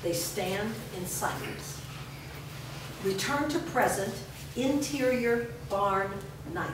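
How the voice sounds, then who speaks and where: A woman's voice: medium pitch, lively intonation, slow pace. A woman reading stage directions as scripted narration. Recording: noisy, very echoey hall from far off.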